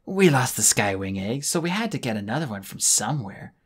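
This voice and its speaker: A man's voice: mid-range voice, sing-song, fairly quick, fairly bright tone, breathy edge. A man voicing a harsh adult female dragon guardian who snarls curt answers.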